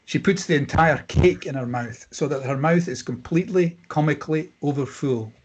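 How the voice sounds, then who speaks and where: A man's voice: a little high, normal speed, darkish tone, breathy edge, lo-fi sound. A man reading stage directions as scripted narration at a screenplay table read.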